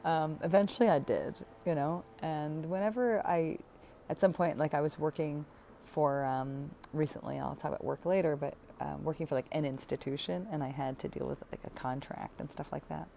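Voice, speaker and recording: A woman in her thirties chats in a loose, rambling vlog, full of fillers, restarts and small laughs. Her voice sits low and is warm and mellow, with a gravelly edge. A faint hiss of noise runs underneath. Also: normal speed. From United States. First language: English. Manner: chatty and rambling, many fillers and self-corrections, frequent light laughs. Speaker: vlogger. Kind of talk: casual personal vlog.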